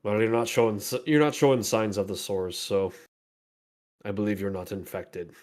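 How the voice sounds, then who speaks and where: A man's voice: on the low side, fairly even intonation, normal speed, a little mellow, natural voice. A man voicing a stern, formal female guard captain.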